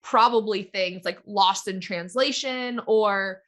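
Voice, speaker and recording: A woman co-hosting a podcast, chatty and quick to chime in during casual talk. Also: medium pitch, a bit bright. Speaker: podcast co-host. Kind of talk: casual chat.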